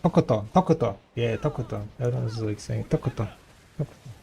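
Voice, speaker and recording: A man whose first language is Russian, with a light Russian accent, chatting with viewers as a game streamer and trailing off in halting restarts. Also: mid-range voice, vocal fry, dark tone, slight background noise. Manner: rambling, halting, many restarts. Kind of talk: casual chat with viewers.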